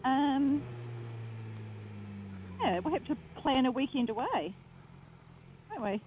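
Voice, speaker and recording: A woman's voice: high voice, very animated, takes it slowly, a little mellow, low-quality recording. Bubbly woman on a windy motorbike. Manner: bubbly, chimes in and finishes his sentences. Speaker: vlogger, pillion passenger. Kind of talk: casual chat while riding. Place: outdoors on a moving motorbike. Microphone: action camera on the bike.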